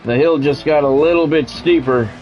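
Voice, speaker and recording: A man's voice: a little high, moderate pace, darkish tone, faint music behind, poor audio. A man doing football play-by-play as a game streamer, in short clipped announcer-style calls mixed with casual chat to viewers.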